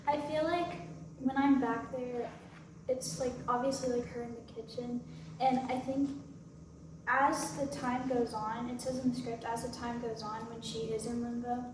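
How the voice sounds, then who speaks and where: A woman's voice: fairly high pitch, steady tone, moderate pace. A woman actor casually explaining her part at a post-show question session. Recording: very echoey room, distant, noisy.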